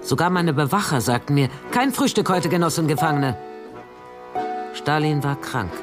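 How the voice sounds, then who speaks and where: A woman's voice: low voice, expressive, normal speed, music in the background. An actress playing an anxious, guarded female secret agent in a radio drama, acting and narrating in the first person. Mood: anxious recollection.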